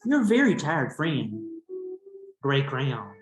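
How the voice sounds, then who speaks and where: Man voicing a weary, put-upon crayon, slow. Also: mid-range voice, wildly swooping pitch, over music. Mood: weary, pleading. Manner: weary, pleading. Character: very tired, put-upon male gray crayon. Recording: roomy echo with background noise.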